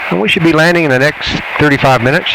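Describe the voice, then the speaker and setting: A man, an airline captain, making an informal, folksy cockpit announcement with hesitant pauses over the PA. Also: a little high, vocal fry. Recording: noisy cockpit radio over cabin PA.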